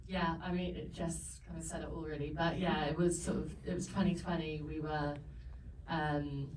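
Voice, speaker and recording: A woman's voice: sits low, flat delivery, normal speed, a little mellow. A woman, a film producer speaking on a screening panel in a loose, rambling way with many fillers. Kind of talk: Q&A answer at a screening. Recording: roomy hall, far from the mic, some noise.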